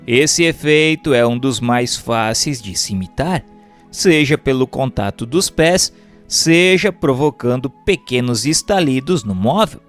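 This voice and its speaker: A man with a Brazilian accent reading a book aloud as formal, didactic scripted narration, enunciating carefully. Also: medium pitch. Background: music.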